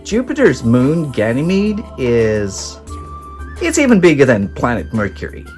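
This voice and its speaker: A man voicing an eager, clever young boy space explorer who explains things in a high, excited voice. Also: a little slow, soft background music. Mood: explaining.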